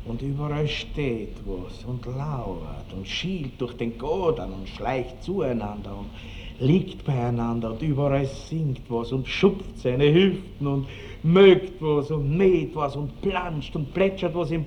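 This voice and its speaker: An actor playing a boastful, lecherous country baron with an Austrian accent and pompous, relishing delivery in a radio drama. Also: male voice, medium pitch, animated, takes it slowly, a little mellow, lo-fi sound. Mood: lustful relish. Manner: pompous, rambling, relishing every word. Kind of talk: classic radio drama acting. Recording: noisy old 1960s radio drama recording.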